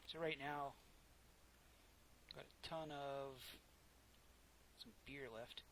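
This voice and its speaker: A soft-spoken man casually narrating his own gameplay, rambling and correcting himself as he goes, close to a desk microphone. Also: mid-range voice, fairly even intonation, very slow, a little hiss or hum. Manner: rambling, self-correcting, chatty. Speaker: gameplay commentator. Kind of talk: casual let's play commentary.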